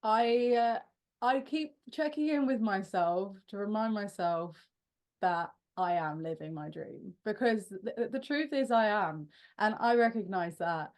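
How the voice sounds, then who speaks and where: A woman's voice: medium pitch, unhurried, darkish tone. Young woman rock singer in an interview, chatty and self-deprecating, lots of restarts and laughs. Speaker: rock band frontwoman and singer. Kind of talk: casual interview answers.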